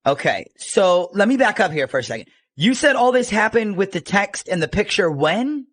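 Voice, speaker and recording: A man's voice: high voice, sing-song, normal speed, fairly bright tone. A man hosting a radio show, chatting casually with a caller in a phone-in segment.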